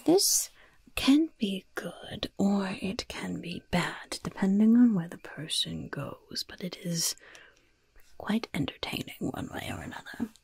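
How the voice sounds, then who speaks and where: Woman as a whispering, creaky goblin poison seller. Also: medium pitch, a little mellow, no echo, full character voice. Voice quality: breathy, raspy, creaky whisper. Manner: slow, savoring, slyly polite. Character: gleeful mischievous goblin shopkeeper selling poisons and curses. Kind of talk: whispered ASMR roleplay.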